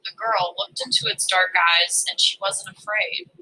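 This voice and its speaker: A woman reading a children's story aloud as a storyteller, with expressive, dramatic and deliberate phrasing. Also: medium pitch, rough recording.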